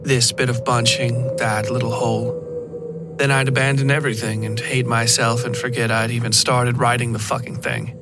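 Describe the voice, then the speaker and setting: A man narrates scripted horror fiction in a medium-pitched voice. His delivery is deliberate and literary, like a storyteller reading prose aloud. Prominent music runs behind the words. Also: little pitch movement. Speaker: podcast narrator.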